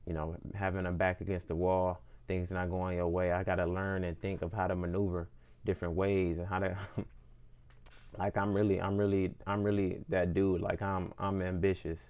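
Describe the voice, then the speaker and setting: Low, flat-voiced young man musing. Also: moderate pace, narrow, tinny audio. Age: 20s. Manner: earnest, repetitive, restarts phrases while thinking aloud. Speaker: independent musician. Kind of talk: reflective personal monologue.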